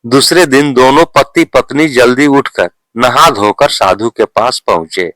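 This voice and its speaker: A male storyteller reads a scripted moral tale. His delivery is very slow and deliberate, his pitch sits in the middle, and the sound is dry and close. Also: a bit bright, no room sound.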